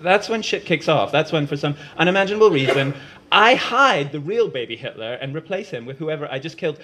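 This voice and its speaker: A man's voice: fairly high pitch, expressive, moderate pace, slight background noise, pitched above the performer's own voice, close to an everyday voice. A man doing a frazzled young woman time traveler. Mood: exasperated. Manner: whiny, exasperated outbursts.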